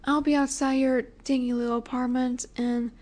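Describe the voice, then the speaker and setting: A woman playing a flirty, confident female mafia boss in a roleplay audio drama, coy and teasing with breathy asides. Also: high-pitched, level tone, normal speed, a little mellow, natural voice. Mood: coy, flirtatious.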